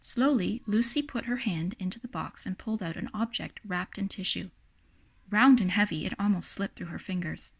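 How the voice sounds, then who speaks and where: A woman reads a children's picture book aloud. Her narration is expressive and sits at an ordinary middle pitch, in the manner of a storybook read-aloud. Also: normal speed, gravelly. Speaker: storybook reader.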